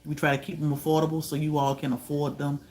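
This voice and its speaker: A man from Virginia, hosting a homestead livestream, chatting with viewers in a relaxed way, often letting his voice trail off softly. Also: fairly high pitch, fast talker, a little hiss or hum. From Virginia, USA. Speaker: homestead channel host. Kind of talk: livestream chat answering viewers.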